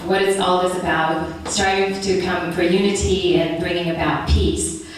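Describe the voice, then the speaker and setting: A young woman from Ethiopia giving an earnest, measured prepared speech at a public meeting. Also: on the low side, fairly even intonation, slight background noise. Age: young adult. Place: large meeting hall. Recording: echoey hall recorded from far off.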